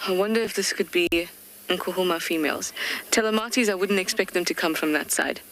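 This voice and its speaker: A woman's voice: lowish voice, a little fast, fairly bright tone, a little hiss or hum, rough recording. A woman working as a safari guide, thinking aloud in chatty, curious live commentary from a vehicle in the bush. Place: game drive vehicle outdoors in the bush.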